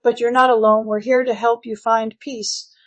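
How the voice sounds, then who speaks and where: A woman's voice: a little high, flat delivery, moderate pace, lightly acted. A woman playing a composed, reassuring grief-therapy doctor in a screenplay reading, speaking in a formal, placating way. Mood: consoling.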